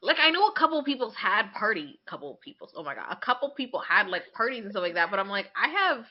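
A woman's voice: medium pitch, big pitch swings, fast talker, lo-fi sound. A woman co-hosting a casual chat show, talking in a candid, sarcastic way with casual swearing. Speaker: podcast co-host.

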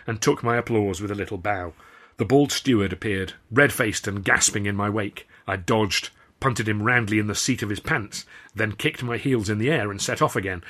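A man reading his own comic novel aloud as a first-person storyteller, in animated audiobook narration. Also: fairly low pitch.

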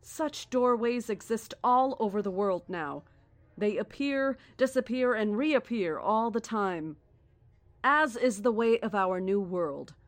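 Give me a condescending female cult leader in a horror audio drama, grandiose and deliberate, with a mid-pitched voice in a dry, close space. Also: expressive, dry recording. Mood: reverent. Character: serene, menacing female cult leader preaching cosmic doom. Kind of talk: horror audio drama acting, live radio address.

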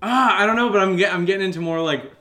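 A man co-hosting a podcast, answering questions in a casual, jokey, rambling and halting way. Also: high-pitched, sharp tone. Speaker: co-host of an audio drama podcast answering listener questions. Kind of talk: casual unscripted Q&A chat.

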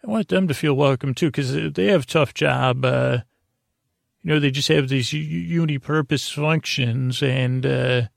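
Man, middle-aged, gravelly creak, dry close sound, halting delivery, self-deprecating, wandering sleep-podcast monologue. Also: mid-range voice, no room sound. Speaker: podcast host. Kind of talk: rambling bedtime monologue for sleep.

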